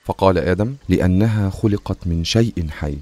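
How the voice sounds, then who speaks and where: A man voices a dignified first man in an animated religious tale. The voice is low and mellow, solemn and measured, with some faint noise behind it. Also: natural voice. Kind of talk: animated religious story dramatization.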